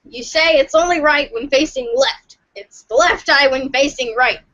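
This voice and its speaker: High, bright woman reading a script. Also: moderate pace, dull audio. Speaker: podcast reader. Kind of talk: dramatic read-aloud of a webcomic script.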